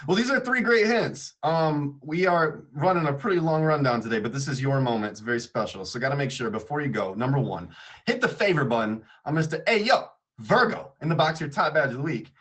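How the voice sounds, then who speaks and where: A man's voice: a little high, animated, a little fast, a bit bright. A man hosting a weekly app update show, upbeat and chatty, laughing often. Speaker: show host. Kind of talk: livestream announcement show hosting.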